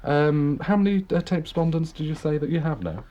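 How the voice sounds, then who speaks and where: A male radio host interviewing a guest and reading out birthday announcements in a brisk, chatty way. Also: fairly high pitch, animated, mellow. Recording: dull old reel-to-reel radio recording.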